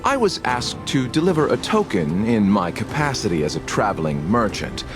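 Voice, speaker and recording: Sly, swooping wandering merchant. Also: male voice, on the low side, big pitch swings, moderate pace, music in the background. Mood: smooth, evasive. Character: sly, theatrical wandering merchant prisoner, male. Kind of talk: video game voice acting, scripted dramatic dialogue.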